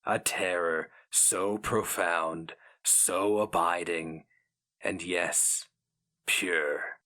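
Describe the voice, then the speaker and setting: A male narrator reads dark fantasy fiction in a fairly low voice. His delivery is grave and theatrical, moving very slowly through each phrase. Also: steady tone. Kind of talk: dramatic scripted fiction narration.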